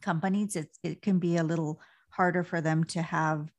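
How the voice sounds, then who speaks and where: A woman's voice: lowish voice, steady tone, moderate pace. A woman hosting an interview, friendly and affirming, with frequent short encouragements. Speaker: podcast host.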